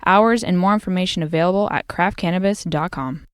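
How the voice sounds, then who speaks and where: A woman's voice: lowish voice, brisk, clear, resonant tone, no room sound, clean studio sound. A woman reading a scripted sponsor message as a radio announcer.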